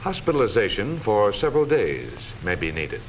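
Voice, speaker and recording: Deep-voiced American man, vintage film narrator. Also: huge pitch swings. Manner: formal, measured, authoritative delivery. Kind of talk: 1940s scripted educational film narration. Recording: dull vintage 1940s film soundtrack, faint noise.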